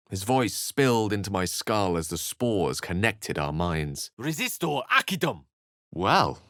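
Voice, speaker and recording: A learned male wizard from a fantasy video game, speaks in a fairly low voice with very animated intonation. His phrasing is literary and theatrical, with a wry edge. The recording is dry and free of room sound. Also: no echo, heavily acted. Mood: grave narration, then incantation. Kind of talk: fantasy game dialogue and narration.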